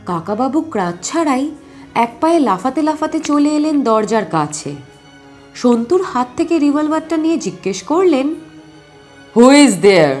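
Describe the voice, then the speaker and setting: Woman, story narrator, highish voice, animated intonation, expressive scripted reading, faint music behind. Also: normal speed.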